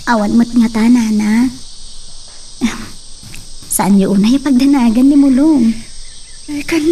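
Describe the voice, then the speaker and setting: Woman playing a worried mother, mellow tone, distant mic, faint noise. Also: sits high. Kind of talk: amateur audio drama acting.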